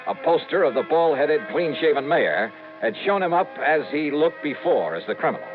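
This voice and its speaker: A man playing a brisk, wisecracking insurance investigator who narrates his own case, in 1950s radio drama style. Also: on the high side, big pitch swings. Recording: tinny old radio broadcast with music.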